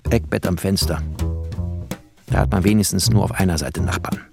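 An actor playing a wry, tender, bookish refugee who narrates a radio drama in terse poetic phrases. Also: male voice, low voice, normal speed, creaky, music in the background. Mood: wry, sombre.

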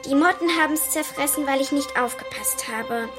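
A woman's voice: high-pitched, normal speed, close to an everyday voice. A female actor playing an eager, earnest young granddaughter in a German children's radio play. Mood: sheepish. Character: young granddaughter Stella, eager, chatty, a little remorseful. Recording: music under the voice.

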